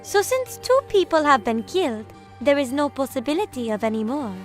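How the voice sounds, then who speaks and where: A composed young female student, acted by a woman, speaks in a high, animated voice. Her phrasing is formal, precise and authoritative, in anime game fan dub style with faint music behind. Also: brisk. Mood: composed, assured.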